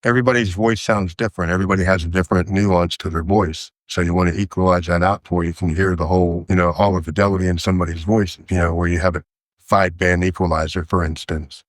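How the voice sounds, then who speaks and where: Deep-voiced middle-aged American man. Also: fairly even intonation, fast, dry recording. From West Virginia, United States. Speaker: sound engineer, interview guest. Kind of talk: conversational technical explanation and anecdotes.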